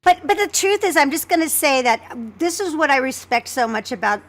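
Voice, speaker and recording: A woman's voice: high-pitched, lively intonation, a little fast, background noise. A woman hosting a podcast in casual chat, effusive, often repeating and restarting her phrases. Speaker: podcast host, co-creator and voice actress.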